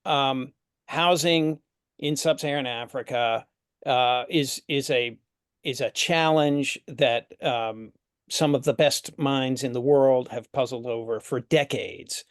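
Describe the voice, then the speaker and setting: A man who runs a housing business, answering an interview question reflectively with halting starts. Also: mid-range voice, smooth, dry recording, clean studio sound.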